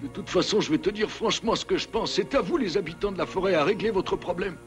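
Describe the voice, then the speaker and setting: A man playing a wise old grandfather wolf in a French cartoon, speaking in a measured, frank, paternal way. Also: high voice, faint music behind, close to an everyday voice. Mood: frank, kindly. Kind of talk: French cartoon dub acting.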